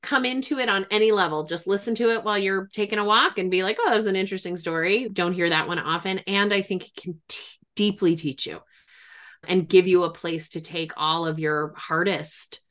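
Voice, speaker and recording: A woman's voice: medium pitch, fairly quick, fairly bright tone. A woman hosting a podcast in a casual conversational interview, speaking in an effusive, emphatic way. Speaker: podcast host and grief expert.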